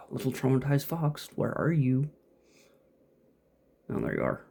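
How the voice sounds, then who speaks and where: A man's voice: mid-range voice, huge pitch swings, unhurried, gravelly, mellow. A man giving casual, off-the-cuff gameplay commentary, muttering and thinking aloud to himself as he plays. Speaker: gamer playing and commenting.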